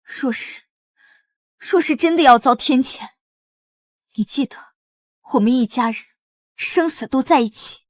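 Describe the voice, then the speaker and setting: An actress playing a loyal young wife from a poor family, speaking earnestly and pleadingly. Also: female voice, high voice, unhurried, pauses often, no echo, studio quality. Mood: tender. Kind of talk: short-drama acting.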